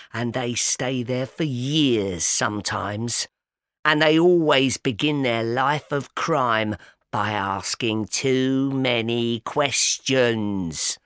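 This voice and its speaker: A stern, scolding older sister, as a male reader puts her on, talks down sharply and slowly. The reading sounds dry and close. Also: medium pitch, fairly bright tone, no echo. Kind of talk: audiobook character voice.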